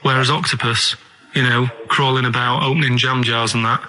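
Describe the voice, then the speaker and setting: A man's voice: mid-range voice, flat delivery, fairly quick, sharp tone. A man chatting casually as a radio show regular, asking naive, puzzled questions in a plain-spoken, rambling way. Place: radio studio.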